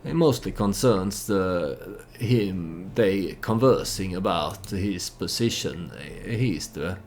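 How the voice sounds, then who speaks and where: A man's voice: sits low, expressive, slow, darkish tone, a little hiss or hum. A man who is not a native English speaker gives casual gameplay commentary with storytelling, in a hesitant, meandering manner. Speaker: let's play host.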